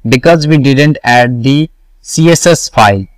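A man walking through a coding tutorial, slowly repeating each step and answering his own questions. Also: medium pitch, dry recording. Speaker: web development tutor. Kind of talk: screen-recorded coding tutorial.

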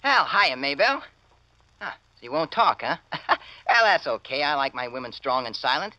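An actor with an American accent playing a cocky, slangy teenage son in a 1940s radio play. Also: male voice, fairly high pitch, big pitch swings, moderate pace, bright, forceful tone, slight background noise, exaggerated voice, rough recording. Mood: breezy. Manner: brash, slangy.

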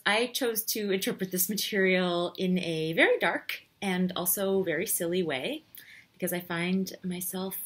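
Low, husky, wry woman. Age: 30s. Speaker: playwright. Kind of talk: conversational talk to camera. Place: bright bedroom, indoors.

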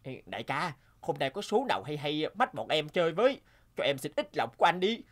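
A man voicing an eager young gambling sidekick in a cartoon, wheedling and flattering. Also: on the high side, a little fast, faint music behind. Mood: pleading.

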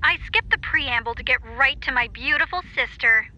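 A woman's voice: high-pitched, normal speed, sharp tone, slight background noise. A woman playing a playful, teasing twin sister with a breezy manner, heard through a telephone filter. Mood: playful, fond. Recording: dull, band-limited phone call.

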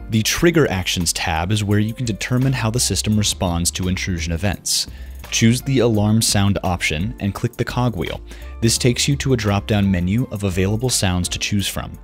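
Low-voiced young American man presenting a tutorial. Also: normal speed, soft background music. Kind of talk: product setup tutorial, casual but scripted narration.

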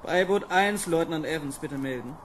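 Actor as bossy space officer, fairly high male voice, sardonic, old radio drama, slight noise. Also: normal speed, natural voice. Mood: businesslike. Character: exasperated young space lieutenant commanding a landing boat. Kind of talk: 1970s children's radio play acting.

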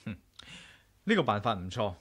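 An actor with a Hong Kong Cantonese accent playing a confident, inquisitive adventurer hero in a 1980s radio drama. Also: male voice, lowish voice, very animated, normal speed, fairly bright tone, breathy edge, exaggerated voice. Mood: confident, decisive.

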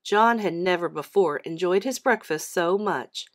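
A woman's voice: on the low side, measured pace. A woman narrating a children's book as a storyteller reading aloud.

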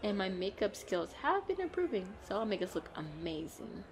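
Animated, playful girlfriend played by a woman. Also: mid-range voice, moderate pace, slight background noise. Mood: excited, teasing. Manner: bubbly, expressive, frequent giggles and exclamations. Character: playful, excitable, pleading girlfriend out costume shopping. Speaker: roleplay audio performer. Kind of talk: girlfriend roleplay audio acting, chatty and playful.

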